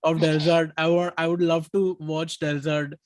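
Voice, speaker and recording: A man's voice: on the high side, little pitch movement, moderate pace, a little mellow, dry recording. A man with an Indian accent in a casual film discussion, enthusiastic and rambling as he works out his thoughts. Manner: enthusiastic, rambling, repeats words as he thinks. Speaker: podcast co-host.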